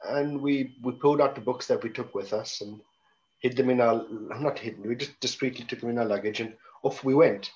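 A man's voice: mid-range voice, brisk, darkish tone. South African man on a dull video call. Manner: wry, conversational, frequent restarts. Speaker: panel guest. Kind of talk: reflective panel talk.

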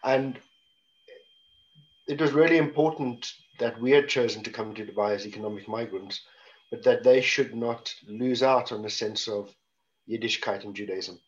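A man's voice: mid-range voice, fairly even intonation, normal speed. A middle-aged man with a South African accent, speaking as a guest on an online panel in a wry, conversational way with frequent restarts. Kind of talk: reflective panel talk. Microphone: video call. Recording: dull lo-fi video call audio.

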